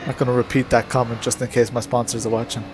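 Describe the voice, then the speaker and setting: A man's voice: medium pitch, little pitch movement, fast talker, over music. A man streaming a racing game with casual, joking live commentary over car noise. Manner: joking, self-amused. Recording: noisy, car engine sound.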